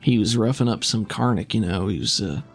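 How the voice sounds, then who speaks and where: A man's voice: on the low side, brisk, breathy edge, faint music behind. Man voicing a drawling streetwise invisible spy woman. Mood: guarded. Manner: drawling, slangy, wry. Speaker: game master. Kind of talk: tabletop roleplay in character.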